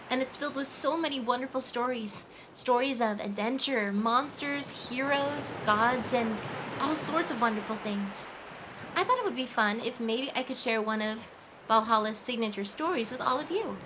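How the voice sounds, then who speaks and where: A woman playing a sweet, playful mermaid who tells stories to children in an animated, coaxing way. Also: a little high, moderate pace, natural voice. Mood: cheerful. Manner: animated, coaxing, asks listeners questions. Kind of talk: children's storytelling, addressed to the audience. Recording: faint background ambient noise.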